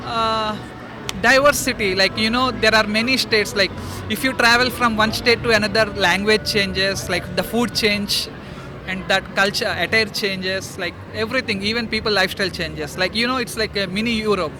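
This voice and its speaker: A man from India with a high voice speaks haltingly, leaning on fillers. Clear traffic noise surrounds him outdoors. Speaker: student interviewee.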